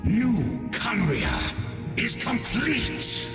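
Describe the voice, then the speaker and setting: Slow, echoing monstrous villain. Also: male voice, high-pitched, wildly swooping pitch, vocal fry, exaggerated voice. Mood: triumphant. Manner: solemn, declamatory, formal. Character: grandiose, scheming monstrous herald villain serving a royal master. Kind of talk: theatrical video game villain acting. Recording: long echoing reverb over background music.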